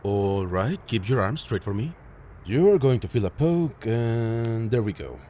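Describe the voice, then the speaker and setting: A man playing a calm, caring field medic who reassures a patient in an intimate audio roleplay. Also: lowish voice, measured pace. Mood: gentle small talk. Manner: gentle, reassuring, matter-of-fact, addressing a patient directly.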